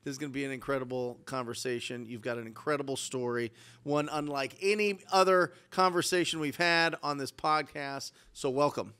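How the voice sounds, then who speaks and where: A man's voice: medium pitch, a little fast, clean tone. A man in his forties hosting a podcast interview, talking energetically and emphatically on a studio mic. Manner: energetic, emphatic, hypes the guest. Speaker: podcast host, real estate coach. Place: studio set with mountain mural. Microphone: studio mic on boom arm.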